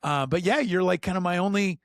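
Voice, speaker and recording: A middle-aged man hosts a podcast with bubbly enthusiasm, his voice sitting a little high. He laughs often and leans on plenty of ums and uhs. The sound is close and dry. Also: brisk, no echo. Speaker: podcast host. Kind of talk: casual podcast chat.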